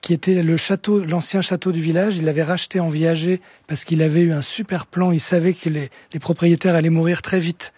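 Man, soft-spoken, husky and a bit high, storytelling guest, dry dull radio studio sound. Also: little pitch movement, a little fast, hardly any pauses, no echo. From France. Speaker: guest musician. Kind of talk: radio talk show guest.

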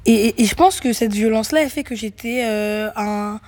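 A young woman who grew up in Greece, a musician guest answering interview questions thoughtfully, with many pauses and restarts. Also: fairly high pitch, darkish tone. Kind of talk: reflective radio interview answers.

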